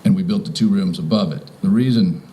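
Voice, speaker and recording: A man in his fifties or older from Virginia giving an informal, halting welcome speech as a property owner. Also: deep voice, mellow, a little hiss or hum, low-quality recording. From Virginia, United States. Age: 50s or older. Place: outdoors in front of a house.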